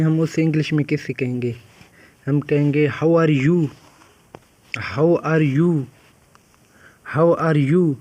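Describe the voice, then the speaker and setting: Young guy teaching english phrases, repeats everything super slow, highish voice, dry close sound. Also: a little mellow, no room sound. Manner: repeats each phrase, drawing English words out very slowly. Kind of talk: spoken English practice lesson.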